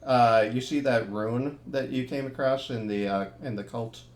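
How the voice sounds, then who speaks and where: A man's voice: mid-range voice, moderate pace. A man narrating a tabletop horror game as game master, easygoing and rambling, full of ums and restarts.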